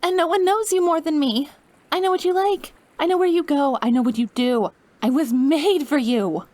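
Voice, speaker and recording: A woman's voice: high-pitched, moderate pace, a little mellow, no echo, close to an everyday voice. A woman playing an obsessive, lovesick, dying young witch in an intimate ASMR roleplay, with a clingy, pleading manner. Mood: frantic, desperate.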